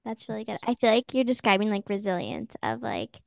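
A woman's voice: mid-range voice, sing-song, fairly quick, gravelly, dry recording. A young woman hosting a podcast who encourages her guest with frequent backchannels and many "like" fillers in easy, casual chat. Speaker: podcast host.

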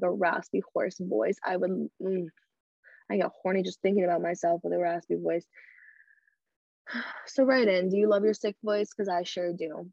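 A woman's voice: fairly low pitch, creaky, a little mellow, dry recording, muffled recording. A young woman hosting her own podcast alone, speaking bluntly and candidly with crude humor. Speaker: podcast host. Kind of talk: casual solo podcast talk. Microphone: podcast microphone.

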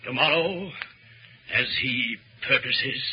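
A man's voice: medium pitch, slow pace, husky. An actor playing a guilt-ridden, ambitious nobleman in 1940s radio Shakespeare, with a grave, declamatory, theatrical manner. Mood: guarded. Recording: dull lo-fi 1940s radio recording.

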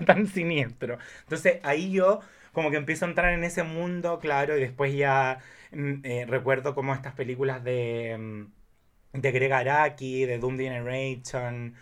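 A man with a Chilean Spanish accent chatting casually about films in a campy, effusive, exclamatory way. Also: mid-range voice. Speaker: podcast guest.

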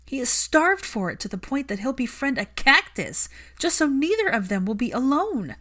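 An outspoken, indignant woman performed by a female reader argues with raw emotion in a dramatic story. Her pitch rides high and moves widely as she presses each point emphatically. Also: very animated, normal speed, a bit bright, full character voice. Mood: impassioned yelling. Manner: emphatic, impassioned, argumentative.

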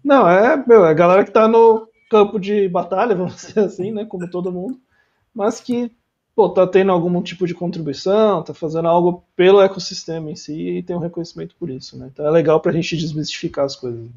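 A man's voice: high-pitched, fast talker, a little mellow, dull audio. A man hosting an interview, chatting in an easygoing way. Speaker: host. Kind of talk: casual interview chat.

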